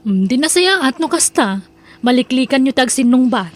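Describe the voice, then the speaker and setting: A woman's voice: sits high, animated, normal speed, dry recording. A woman with an Ilocano accent playing a chatty, advising female friend in an audio drama. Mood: surprised, advising.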